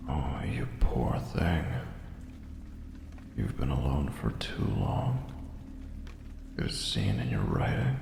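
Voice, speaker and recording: An actor voicing a sinister, gloating, mock-tender demon in an audio play, with a growling, breathy, gravelly voice. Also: male voice, extremely low pitch, huge pitch swings, takes it slowly, pauses often, exaggerated voice. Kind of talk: audio drama acting. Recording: echoey long reverb, clear noise.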